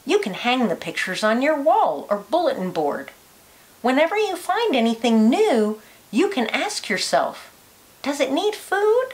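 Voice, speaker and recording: A woman reading a children's educational picture book aloud like a teacher, phrasing it carefully and deliberately for young listeners. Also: sits high, very animated.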